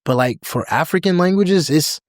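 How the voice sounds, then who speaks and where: A man's voice: fairly high pitch, moderate pace, dry recording. Young american guy chatting loose on a podcast, like and you know everywhere, dry mic. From United States. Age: young adult. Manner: relaxed, filler-heavy, drops into other languages. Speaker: podcast guest. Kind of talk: casual interview chat.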